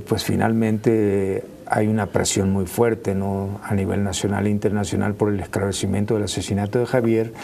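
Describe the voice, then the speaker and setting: A man's voice: fairly low pitch, gravelly, warm tone, slight background noise. A man with a Mexican accent, a correspondent speaking as a panel guest, hesitant and often adding tag questions. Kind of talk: spoken panel commentary. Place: TV studio.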